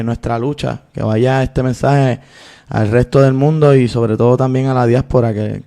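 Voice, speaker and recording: A Puerto Rican man in his thirties, a guest recounting his life at length in an earnest, unhurried way with many fillers. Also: mid-range voice, gravelly, warm tone. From Puerto Rico. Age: 30s. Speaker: guest, activist and filmmaker. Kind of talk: interview storytelling.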